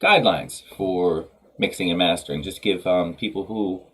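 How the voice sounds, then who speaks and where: Brief: male streamer, thirties, deep husky voice, congested with a cold, loose rambling chat over a headset. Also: moderate pace, fairly bright tone. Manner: rambling, many ums and restarts, laughs easily. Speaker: livestream host of a music workshop. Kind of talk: casual livestream chat. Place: home studio room.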